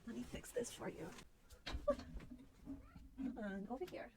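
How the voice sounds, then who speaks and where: A woman rambling softly and in whispers as an ASMR host, with a cutesy, coaxing, playfully teasing manner. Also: on the high side, big pitch swings, slow, poor audio. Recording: faint background noise.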